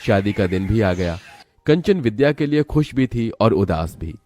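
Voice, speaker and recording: A man's voice: lowish voice, animated, moderate pace, mellow, slight background noise. A man narrating a scripted, dramatic story as a storyteller.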